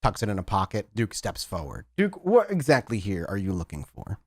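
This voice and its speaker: A man's voice: fairly low pitch, wildly swooping pitch, fairly quick, dry recording, studio quality. A man narrating a tabletop roleplaying game as game master, emphatic and descriptive, often rephrasing as he goes.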